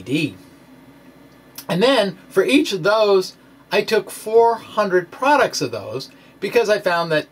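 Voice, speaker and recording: A man giving an informal science explainer in a folksy, conversational way with theatrical emphasis. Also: fairly high pitch. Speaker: science blogger presenting own work. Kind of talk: informal explanatory lecture, dramatic reading of blog post.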